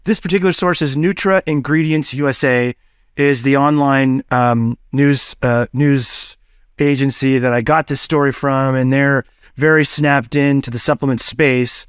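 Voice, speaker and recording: A man hosting a business podcast, talking in an energetic, chatty way with quick shifts and casual fillers. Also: medium pitch, smooth, no room sound, clean studio sound. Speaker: podcast host.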